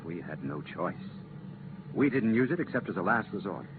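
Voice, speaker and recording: An earnest official of a space commission in a vintage radio drama. His low voice rises and falls strongly as he explains hard choices with firm, regretful emphasis. The recording is muffled, with clear background noise. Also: very animated, normal speed. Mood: grave explaining. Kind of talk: 1950s radio drama acting. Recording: muffled, noisy 1950s radio transcription.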